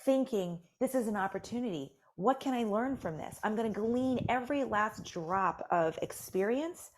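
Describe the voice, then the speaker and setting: A woman's voice: fairly high pitch, expressive, normal speed, a little hiss or hum. A woman author speaking as a guest on an interview. She talks in an encouraging way, with many "you know"s. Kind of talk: conversational interview.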